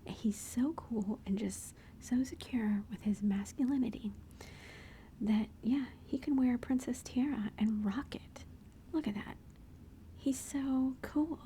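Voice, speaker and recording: A woman's voice: a little high, unhurried, mellow, a little hiss or hum. A woman whispering throughout as she shows family photos and gushes fondly over her kids. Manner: gushing, affectionate, chatty. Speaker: mother showing family photos. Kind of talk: whispered ASMR photo show-and-tell.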